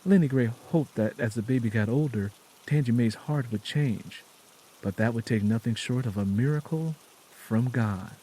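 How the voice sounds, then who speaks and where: A man narrating an audio drama in a measured, solemn, dramatic storytelling style. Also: medium pitch, warm tone, slightly rough, low-quality recording.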